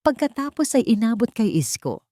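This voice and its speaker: A woman's voice: on the high side, sing-song, normal speed, dark tone, dry recording, studio quality. A woman with a Filipino accent reading a scripted, sentimental romantic drama as a storyteller.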